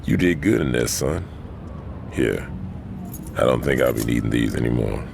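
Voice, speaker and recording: An actor playing a weary, cold older gang boss in a video game, gravelly, stern and deadpan. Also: male voice, extremely low pitch, moderate pace, background noise, exaggerated voice.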